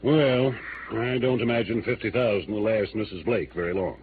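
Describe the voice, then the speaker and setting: An actor playing a weary, earnest city mayor in a vintage radio crime drama, speaking in a measured, formal and careful way. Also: male voice, fairly low pitch, lightly acted. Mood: earnest, reasoning. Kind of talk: 1950s radio drama acting. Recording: tinny, noisy old radio recording.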